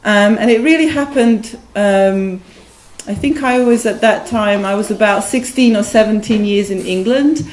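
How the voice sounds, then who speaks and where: A woman's voice: medium pitch, normal speed, darkish tone. Hesitant woman from Bosnia, roomy gallery. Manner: many ums, plain and personal. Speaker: artist speaking at a panel. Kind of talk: hesitant panel talk. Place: gallery room. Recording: roomy gallery with faint noise.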